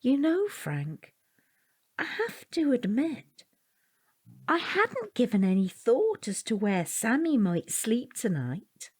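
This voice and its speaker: Woman playing a high-voiced, apologetic British mum. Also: big pitch swings, slow pace, darkish tone, husky, full character voice. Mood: earnest. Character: hapless, apologetic middle-aged mother, gently disapproving. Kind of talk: comic audio drama acting.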